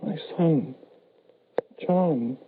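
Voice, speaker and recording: A man's voice: on the high side, very slow. An actor playing a frail, grieving older father, hesitant and pleading, in a 1940s radio horror style. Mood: stunned dread. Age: middle-aged or older. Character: frail, grieving father, anxious and desperately loving. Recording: noisy, tinny old-time radio recording.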